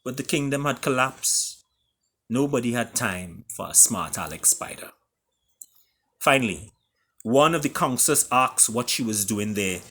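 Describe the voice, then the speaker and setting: A man with a Caribbean accent reading his own story aloud in a theatrical way, with deliberate pauses. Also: mid-range voice, animated, bright, forceful tone, breathy edge. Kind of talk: dramatic storytelling.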